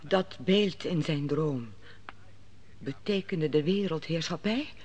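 A woman's voice: low voice, slow pace, darkish tone, dull audio. A demanding noblewoman. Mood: probing. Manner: probing, demanding questions. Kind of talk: 1950s radio drama acting.

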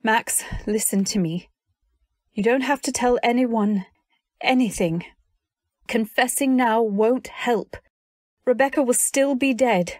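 A woman acting a devoted wife, high-voiced. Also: slow, long pauses, a little mellow, no echo, studio quality. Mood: pleading. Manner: earnest, emphatic, dramatic. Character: devoted, fiercely loyal wife, pleading and protective. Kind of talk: old-time radio drama acting with first-person narration.

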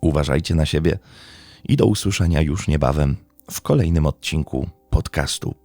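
A man's voice: very deep voice, moderate pace, darkish tone, no echo, soft background music. A man hosting a true-crime podcast as a scripted narrator.

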